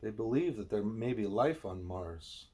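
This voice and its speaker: A man's voice: lowish voice, lively intonation, a little slow, dark tone, breathy edge. A man reading a spiritual book aloud in the manner of an audiobook narrator. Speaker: audiobook reader.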